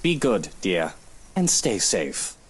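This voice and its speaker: An actor playing a wealthy, breezy, condescending young British husband in a visual novel game. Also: male voice, low-pitched, sing-song, takes it slowly, breathy edge, exaggerated voice. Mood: dismissive.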